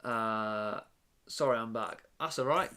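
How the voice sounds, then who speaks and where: A man's voice: lowish voice, takes it slowly, pushed and bright, clear, resonant tone. Rambling young man streaming. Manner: rambling, chatty, many fillers and restarts. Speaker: live streamer building a brick set. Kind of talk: casual live-stream chat. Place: bedroom.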